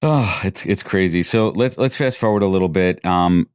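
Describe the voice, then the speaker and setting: Man, 40s, podcast host, low pitch, animated questions, dry close recording. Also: moderate pace, clean tone, dry recording. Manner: animated, repeats words when starting questions. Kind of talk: conversational interview hosting.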